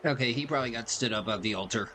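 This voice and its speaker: A man's voice: mid-range voice, very fast, crowd noise. A man giving casual game commentary as a streamer, chatty, slangy and laid-back. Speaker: gaming streamer. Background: faint game music.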